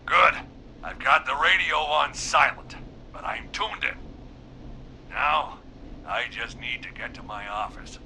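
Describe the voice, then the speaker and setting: A man voicing an excitable, nervous newspaper editor in a video game, with a fussy, agitated, blustering manner. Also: mid-range voice, slow pace, creaky, long pauses, a little hiss or hum, exaggerated voice, low-quality recording. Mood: tense, fussy.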